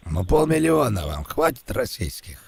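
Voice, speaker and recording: A man's voice: lowish voice, sing-song, normal speed, vocal fry, slightly rough, no room sound, full character voice. A man voicing a pleading, silly rich businessman in a prank call, stammering and repeating himself as he wheedles. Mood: persuasive.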